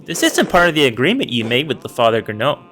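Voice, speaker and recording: A man voicing a stern, formal monk who guards an abbey's treasures and speaks in a stiff, disapproving way. He reads a slightly lower and quieter line than his own voice. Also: sing-song, fast talker, soft background music. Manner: stiff, rule-bound and disapproving. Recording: clear background noise.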